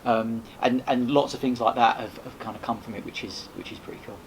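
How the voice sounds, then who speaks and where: A man tells stories at a quick clip, animated and rambling, often restarting his sentences. His voice sits at a middle pitch. Steady background noise runs under the recording. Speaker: podcast host and guest. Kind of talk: casual storytelling chat. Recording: noisy background.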